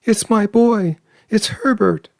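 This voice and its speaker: A man voicing a hysterical, desperate old mother in a storybook reading, feverish, excitable and insistent. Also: high voice, slow, creaky, no room sound, pitched above the performer's own voice, heavily acted. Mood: desperate screaming. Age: older.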